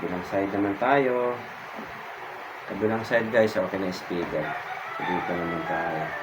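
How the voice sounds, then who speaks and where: A man's voice: sits low, a little slow. A Filipino man giving a casual hands-on tutorial, informal and repeating his phrases as he demonstrates. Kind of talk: casual hands-on how-to chat. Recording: very noisy background ambience.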